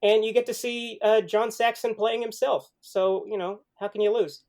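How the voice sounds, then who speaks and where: A man on a podcast panel giving film recommendations in casual, halting conversation. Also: sits high, fairly even intonation, a little mellow.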